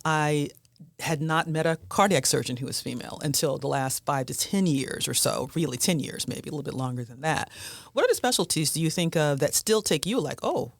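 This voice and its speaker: A woman's voice: low-pitched, expressive, a little fast, vocal fry, no room sound. Chatty woman podcast host, dry sound. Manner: chatty, laughs between remarks. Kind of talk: casual panel conversation.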